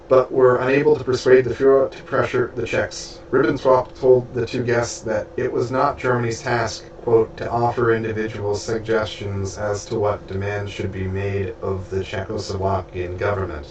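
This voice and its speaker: Stuffy man reading aloud, halting. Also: lowish voice. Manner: halting, stumbles over names and restarts words. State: stuffy and losing his voice. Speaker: audiobook reader and streamer. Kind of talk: reading a book aloud with spoken asides. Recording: roomy, distant from mic, faint background hiss.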